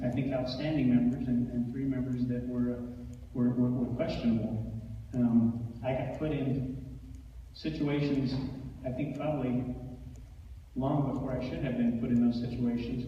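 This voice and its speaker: A man speaking as a school board member, answering a forum question hesitantly and repeating words. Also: mid-range voice. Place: hall at a public forum. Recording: very echoey hall, far mic, muffled, faint noise.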